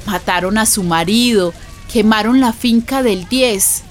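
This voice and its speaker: A woman's voice: mid-range voice, a little slow, lightly acted. An actress playing an earthy, frightened Colombian village woman who tells of violent events in a radio drama, colloquially and dramatically. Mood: grave. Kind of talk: radio drama narration and dialogue.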